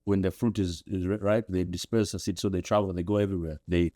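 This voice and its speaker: A man's voice: fast talker, no echo, clean studio sound. A young man from Zambia, a podcast guest, reflecting thoughtfully with frequent restarts. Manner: thoughtful, many restarts, rises in pitch when animated. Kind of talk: reflective interview talk. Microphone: studio podcast mic.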